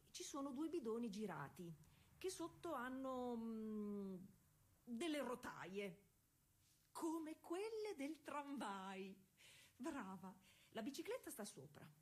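An actress playing a proud, eager young woman racing cyclist of the early 1900s in a conversational, insistent stage monologue, with a husky voice. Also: a little high. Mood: explaining. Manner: explanatory, conversational, insistent with repeated checks. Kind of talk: theatrical monologue addressed to an unseen listener.

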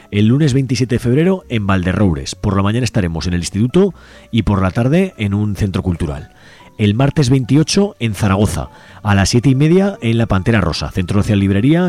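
Low-voiced Aragonese radio host. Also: male voice. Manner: relaxed, conversational, tags lines with a questioning "right?". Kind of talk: casual radio announcements.

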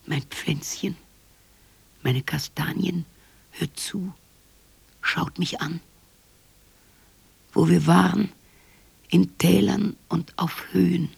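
An extremely creaky, breathy half-whisper from a wistful, lonely mother figure in a radio drama. The phrases are short, slow and incantatory, intimate as if soothing children. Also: lowish voice, steady tone, darkish tone, no echo, exaggerated voice. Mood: tender, nostalgic.

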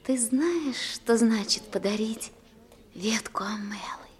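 An actress playing a brisk, knowing lighthouse woman in a 1960s radio play. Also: fairly high pitch. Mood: soft, coaxing.

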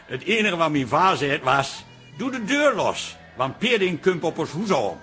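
A man's voice: on the high side, big pitch swings, moderate pace, bright, forceful tone, soft background music. An actor playing a flustered, earnest middle-aged man raised on a farm, rambling in a radio comedy-drama. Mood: rambling storytelling.

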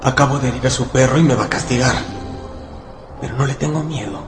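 A man's voice: mid-range voice, fast talker, music in the background, lightly acted. A man voicing a wary, proud wolf-dog thinking aloud in a suspicious, defiant way, in a radio drama. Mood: fearful, defiant. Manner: suspicious, defiant inner monologue. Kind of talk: Spanish-language radio drama acting.

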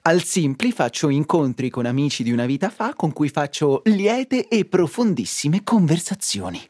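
A husky, overwrought slogan zealot. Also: male voice, fairly high pitch, big pitch swings, moderate pace, darkish tone. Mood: mock-solemn. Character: overwrought consumer zealot reciting advertising slogans.

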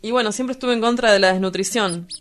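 Radio drama: woman as blunt, cynical young male Argentine street poet, idle and crude, mid-pitched. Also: fast, a little hiss or hum, lightly acted. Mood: cynical teasing. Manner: blunt, vulgar, teasing.

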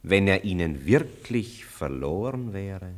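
Low-voiced, worldly, cynical friend. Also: male voice, big pitch swings, slow pace, heavily acted. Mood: sly, persuasive. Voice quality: deep. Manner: reasoning, sardonic, theatrical. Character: worldly, cynical male friend Max, persuasive. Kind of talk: classic German radio play acting.

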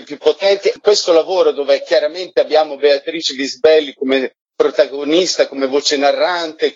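Higher-pitched Italian man, slightly husky, muffled sound. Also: no room sound. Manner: emphatic, repeats and lists for effect. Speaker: theatre director, interview guest. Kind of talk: explanatory interview storytelling. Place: remote link from Florence.

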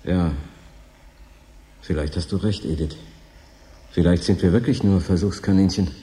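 An actor playing a moody, suspicious station worker in a radio drama, brooding, probing and intense. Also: male voice, deep voice, a little slow, warm tone. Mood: dawning suspicion. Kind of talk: German radio drama acting.

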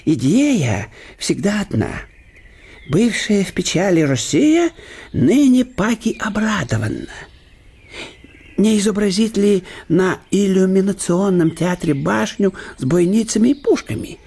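An actor playing a fussy, obsequious older German professor at court in a radio play, hesitating with fillers. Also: male voice, high voice, big pitch swings, a little slow, mellow, breathy edge, full character voice. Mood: eager.

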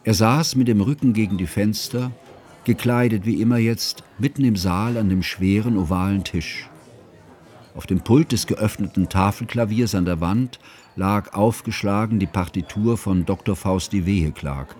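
A man playing an earnest, fussy scholarly friend, narrating a literary radio drama in a measured, formal way. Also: lowish voice, a little mellow, lightly acted.

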